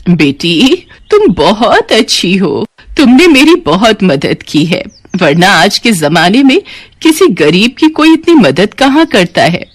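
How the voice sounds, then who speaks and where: A woman's voice: mid-range voice, sing-song, slow pace, no room sound. A woman voicing a poor, frail old woman, humble and grateful, in a storybook tale.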